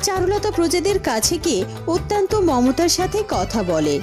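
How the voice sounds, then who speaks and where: A woman's voice: very high voice, very animated, normal speed, music in the background. A woman giving scripted storybook narration of scenes in a fairy-tale cartoon.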